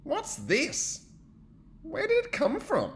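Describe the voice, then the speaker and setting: A man voicing a curious, hungry bear from a storybook, speaking in a slow, drawn-out way. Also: very high-pitched, wildly swooping pitch, fairly bright tone, voice pushed up, exaggerated voice. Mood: puzzled, curious.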